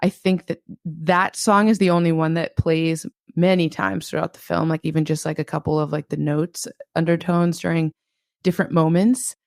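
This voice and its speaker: A woman with a notably low voice and a gravelly fry, speaking as a podcast guest. She muses out loud, circling her points with plenty of filler words. The sound is dry and close. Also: flat delivery, moderate pace, no echo. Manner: reflective, thinks aloud with many fillers. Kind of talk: casual podcast discussion.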